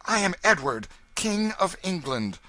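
Proud boy king, acted by a man, raised pitch, indignant, princely archaic storybook speech, forceful. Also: sing-song, measured pace, exaggerated voice.

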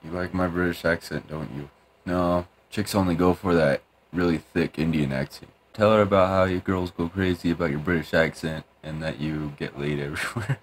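A man's voice: sits low, fairly even intonation, normal speed, a little hiss or hum. A man casually commenting while playing a game, rambling and joking at himself. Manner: rambling, self-deprecating, mumbly. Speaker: gaming youtuber. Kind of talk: casual let's-play commentary.

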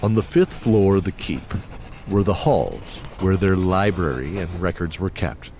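A man's voice: sits low, lively intonation, unhurried, darkish tone, a little hiss or hum. A man narrating a scripted fantasy story as the storyteller of an audio drama. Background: music.

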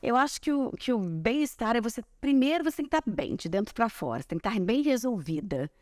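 Actress guest for a sit-down chat, forties, animated, gesturing, dry clip-on sound. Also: female voice, fairly high pitch, a little fast, no echo. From Brazil. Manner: chatty, animated storytelling with hand gestures. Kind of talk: relaxed interview chat. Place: large bright living room, seated on a sofa. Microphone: small clip-on mic on collar.

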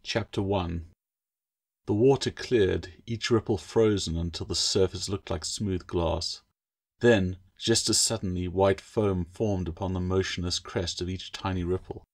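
A man's voice: low voice, steady tone, measured pace. A man reading his own fantasy novel as a scripted audiobook, with dramatic storytelling delivery.

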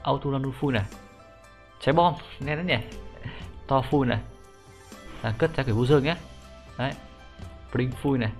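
A young man giving a casual, slangy game tutorial as an excitable YouTuber, talking viewers through a menu. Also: medium pitch, moderate pace, long pauses. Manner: informal, excitable slang, repeated sentence-end particles. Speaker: gaming YouTuber. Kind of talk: casual screen-recorded game script tutorial. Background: music.

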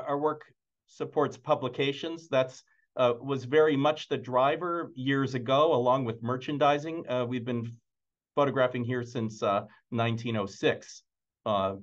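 A dull, slightly lo-fi video call carries a man's medium-pitched voice. He presents slides informally as a staff presenter. His phrasing is halting and he often repeats words as he goes. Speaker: presenter, museum imaging department staff. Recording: dull, band-limited video call audio.

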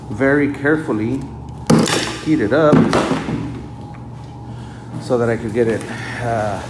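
Loud noise surrounds this man's medium-pitched voice. He talks casually, like a technician filming his own job on a handheld camera. His delivery is informal and explanatory, addressed straight to the guys watching. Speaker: refrigeration technician, vlog host. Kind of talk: casual hands-on work vlog, talking while working. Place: on site at work, inside walk-in freezer and outdoors at condensing unit.

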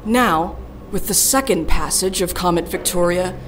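An actress playing a confident, optimistic advocate in an audio drama, formal and articulate. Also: female voice, fairly low pitch, moderate pace, lightly acted. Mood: hopeful. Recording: faint background noise.

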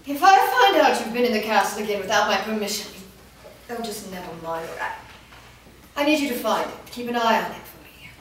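A woman's voice: a little high, lively intonation, a little fast, close to an everyday voice. Imperious vampire master played by a woman, echoey. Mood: exasperated threat. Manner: commanding, exasperated. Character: imperious, impatient female vampire master, weary and threatening. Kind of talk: staged play reading. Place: indoor performance room, seated before an audience. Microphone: far camera. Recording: distant mic, echoey room, faint noise.

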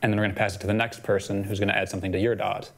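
A man's voice: lowish voice, little pitch movement, racing, gravelly. A young man co-hosting a children's storytime, casually explaining things in a small studio. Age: young adult. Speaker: storytime co-host.

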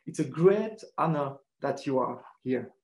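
A man in his forties introducing speakers at a conference, polite and halting with repeats, on a video call from an indoor room. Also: medium pitch, lively intonation, a little mellow, roomy sound. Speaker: conference host. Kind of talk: moderating introductions. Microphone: webcam, video call.

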